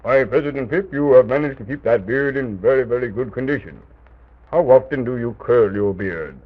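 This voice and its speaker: A man playing a courteous, patient castle guide in armor for an old children's radio serial, speaking formally and with measured explanation. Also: medium pitch, close to an everyday voice. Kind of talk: 1920s-30s children's radio serial acting. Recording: tinny old radio recording.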